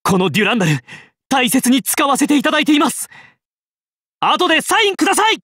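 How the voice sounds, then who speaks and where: An actor playing an excitable, brash young knight in a game, comically earnest and exclamatory. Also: male voice, very high-pitched, fairly quick, dry recording, clean studio sound, exaggerated voice. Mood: excited. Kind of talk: scripted game character dialogue.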